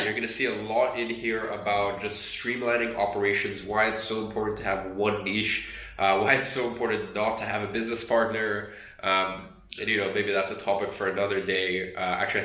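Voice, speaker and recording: A man's voice: lowish voice, pushed and bright. Nasal young man with a cold. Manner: conversational, many fillers and restarts. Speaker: agency owner and online business educator. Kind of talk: casual talk to camera. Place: room at a desk with an editing screen. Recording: echoey room.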